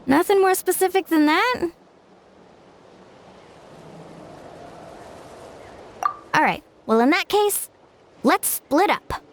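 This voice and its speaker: Perky, high-voiced young game heroine. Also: female voice, animated, unhurried, slight background noise, full character voice. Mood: pondering, decisive. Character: energetic, friendly young woman, eager to help. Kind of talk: anime video game voice acting. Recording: music behind the voice.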